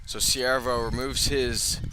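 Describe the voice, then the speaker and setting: A man's voice: mid-range voice, flat delivery, slow pace, poor audio. A man calling a baseball game live on the radio as the play-by-play announcer. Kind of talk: live sports commentary. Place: outdoor ballpark broadcast position.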